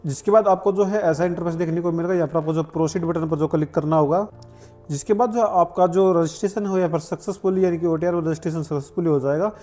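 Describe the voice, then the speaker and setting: High-pitched man giving instructions. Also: fast talker, dark tone, faint music behind. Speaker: online form tutorial presenter. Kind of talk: step-by-step screen tutorial narration.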